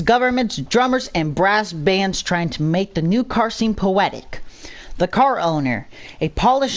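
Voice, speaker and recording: Channel host reading a story out loud, takes it real slow, mid pitch. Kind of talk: reading a scripted story aloud.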